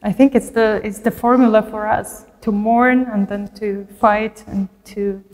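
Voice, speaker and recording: Woman interviewer, mid pitch, appreciative, reverberant hall sound. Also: fairly even intonation, measured pace, a little mellow. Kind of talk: interview questions.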